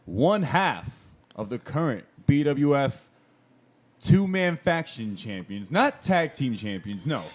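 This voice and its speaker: Give me a pro wrestler on a podcast, his pitch swinging widely as he talks. He sounds playful and boastful, like a showman hyping himself up. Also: very animated, slow. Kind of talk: casual round-table chat.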